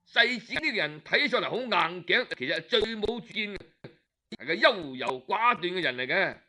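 A man's voice: high-pitched, very animated, normal speed, bright, forceful tone, heavily acted. A man voicing a scheming courtier who soothes his lord and speaks with confident scorn, in an audiobook tale. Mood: reassuring, scornful. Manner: reassuring, sly.

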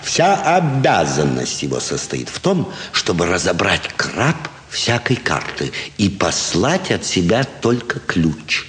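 An actor playing a sly, self-assured card sharper in a classic stage comedy, savouring his story with dramatic pauses. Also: low voice, very animated, fairly bright tone, full character voice. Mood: confiding, smug. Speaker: actor in an audio play. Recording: stage hall with long reverb.

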